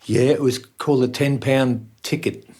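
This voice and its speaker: A man in his seventies with an Australian accent, a guest telling stories in a relaxed interview, restarting sentences and laughing along the way. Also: mid-range voice, moderate pace. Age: 70s. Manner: chatty storyteller, laughs often, starts over mid-sentence. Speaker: guest musician. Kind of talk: casual interview chat.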